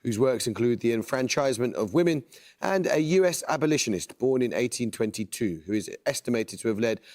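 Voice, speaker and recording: A male quiz show host with an ordinary mid-pitched voice. He reads each question carefully and precisely at a steady clip, in a TV studio. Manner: measured, precise question reading. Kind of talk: scripted quiz hosting.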